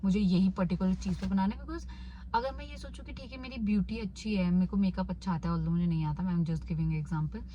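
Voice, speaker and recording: A woman's voice: mid-range voice, fast talker, warm tone, lo-fi sound, a little hiss or hum. A young woman in her twenties with an Indian accent, mixing Hindi and English as she casually answers viewer questions in a vlog. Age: 20s. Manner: switches between Hindi and English. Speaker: YouTuber.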